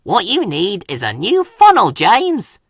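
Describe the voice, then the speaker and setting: A man's voice: sits high, wildly swooping pitch, measured pace, slightly rough, no room sound, clean studio sound, voice pushed up, heavily acted. A man voicing an eager, bossy young tank engine in a children's storybook, sounding self-important and sure of himself. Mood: bossy, proud.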